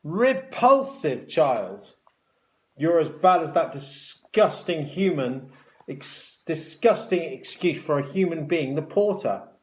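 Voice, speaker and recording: A man voicing a cruel, bossy hospital matron with a sharp, mocking, snapping delivery in a children's story. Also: on the high side, lively intonation, normal speed, natural voice. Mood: disgusted, scolding. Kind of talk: children's story read aloud.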